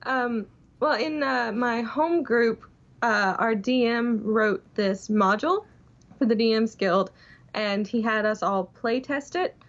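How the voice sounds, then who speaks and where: A woman's voice: on the high side, slow pace. Southern American woman on a dull call. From South Georgia, United States. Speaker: podcast guest, streamer. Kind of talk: casual chat. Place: remote call-in.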